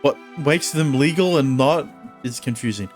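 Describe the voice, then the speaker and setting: A man's voice: mid-range voice, normal speed, soft background music. Man reading a visual novel aloud. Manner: casual, reads narration in a steady tone then chats offhand. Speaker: streamer.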